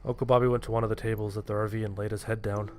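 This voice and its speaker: A man's voice: lowish voice, level tone, rapid-fire, darkish tone, soft background music. A man reading a scripted first-person horror story as its narrator.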